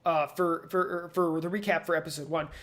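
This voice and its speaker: A man co-hosting a casual pop culture discussion, thinking out loud and posing rhetorical questions. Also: fairly high pitch, steady tone, normal speed. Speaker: podcast co-host.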